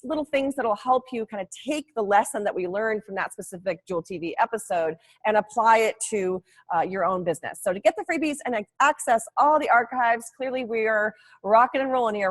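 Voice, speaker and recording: A bubbly woman hosts a casual live show with a fairly high, bright voice. She chats easily and laughs often, keeping things friendly and informal. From Oregon, USA. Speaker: host. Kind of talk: casual live-stream chat.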